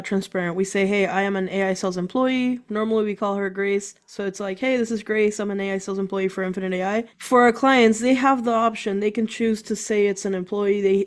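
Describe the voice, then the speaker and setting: A woman who is a guest on a business podcast, explaining her work quickly and enthusiastically. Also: medium pitch. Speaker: guest entrepreneur. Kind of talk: casual interview chat.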